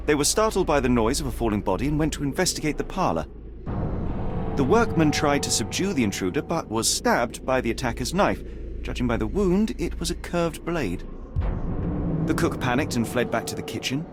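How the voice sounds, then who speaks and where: A man playing a composed, sharp-minded detective in a video game, speaking with precise, measured, analytical authority. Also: medium pitch, very animated, music in the background, natural voice. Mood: analytical, intent. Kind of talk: dramatic detective game dialogue and deduction narration.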